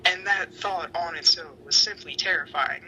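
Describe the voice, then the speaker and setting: A man with a very bright, slightly high voice reads fan fiction in a breathy, theatrical way, stumbling now and then. There is faint noise on the recording. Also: normal speed, poor audio. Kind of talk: dramatic reading of fan fiction.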